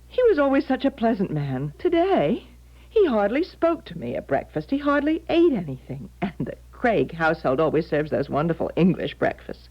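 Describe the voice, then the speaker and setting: An actress playing an anxious, insistent middle-aged mother in a 1970s radio drama, agitated and emphatic. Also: lowish voice. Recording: dull lo-fi 1970s radio broadcast.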